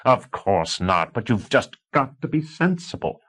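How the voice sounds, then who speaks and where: A man's voice: medium pitch, big pitch swings, measured pace, a bit bright, pitched above the performer's own voice. A man reading an audiobook, voicing an exasperated professor husband who speaks firmly and impatiently. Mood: stern. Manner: firm, impatient.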